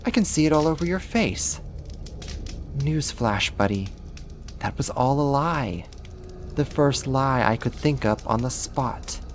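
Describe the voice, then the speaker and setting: A sadistic half-spider monster, acted out by a performer for an ASMR horror roleplay. The delivery is unhurried and theatrical, dripping with condescension toward a human captive. The pitch is moderate, with some background noise under the voice. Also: animated. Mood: irritated, dismissive.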